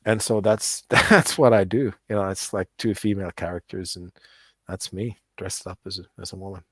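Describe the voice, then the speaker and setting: Low-voiced middle-aged man, rambling. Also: fairly even intonation, normal speed, fairly bright tone, husky, dry recording. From United States. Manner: rambling, many restarts and repeated words. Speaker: musician guest. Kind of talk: casual interview chat.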